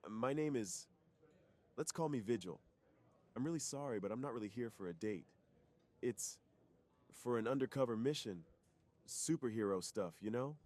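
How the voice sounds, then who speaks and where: A man playing a secretive, polite undercover superhero who is shyly smitten, speaking earnestly and softly in an intimate ASMR roleplay. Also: medium pitch, expressive, normal speed, long pauses, natural voice. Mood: apologetic, friendly.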